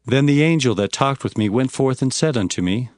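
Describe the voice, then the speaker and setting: Solemn male Bible narrator, mid-pitched. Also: no echo. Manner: solemn, measured, expressive storytelling. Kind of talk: dramatized scripture narration. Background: faint music.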